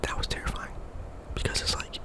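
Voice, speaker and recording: Whispering man doing casual game commentary. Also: fairly quick. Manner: casual, rambling, conversational. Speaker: video game streamer and host. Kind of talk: whispered ASMR gameplay commentary.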